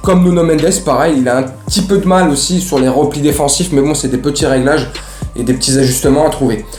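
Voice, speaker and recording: A man hosts a chatty football fan recap. His mellow, relaxed voice rings in a roomy space. He uses plenty of slang and speaks directly to the people watching. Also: on the high side, a little fast, faint music behind. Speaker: host of a football fan show. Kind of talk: casual match recap to camera. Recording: roomy, echoey room sound.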